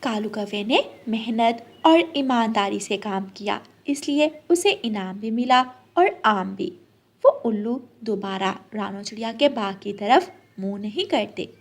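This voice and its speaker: A woman's voice: a little high, lively intonation, a little slow. A woman storyteller reading scripted narration for a children's cartoon tale.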